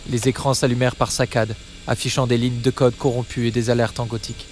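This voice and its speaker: A man narrating a dramatic audio story in a grave, measured manner. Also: mid-range voice, level tone, darkish tone, a little hiss or hum.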